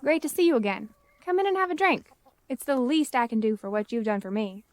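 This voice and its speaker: Lady doing a high voiced southern country moonshine gal, folksy story podcast character, really dry close sound. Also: expressive, a little fast, no echo, close to an everyday voice. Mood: welcoming, grateful. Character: grateful, hospitable country moonshiner woman, later puzzled. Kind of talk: amateur audio drama voice acting.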